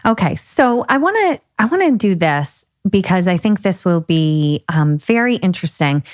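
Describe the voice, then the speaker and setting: A woman's voice: on the low side, big pitch swings, moderate pace, a little mellow, no room sound, studio quality. A woman co-hosting a podcast in casual chat, chiming in and steering the conversation. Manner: interjecting, guiding the conversation. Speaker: podcast co-host.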